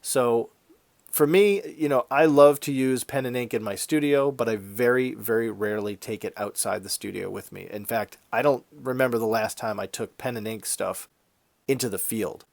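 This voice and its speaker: A man hosting an art vlog in a casual, conversational and explanatory way, his voice congested and a little raspy from a head cold. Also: medium pitch, moderate pace, a little mellow, dry recording. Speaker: YouTube art channel host.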